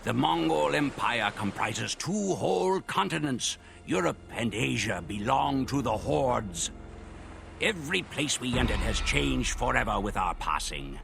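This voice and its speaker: A man narrating a war campaign in solemn, measured scripted narration for game cutscenes. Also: mid-range voice, lively intonation, creaky, a little hiss or hum. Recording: game cutscene audio with loud music.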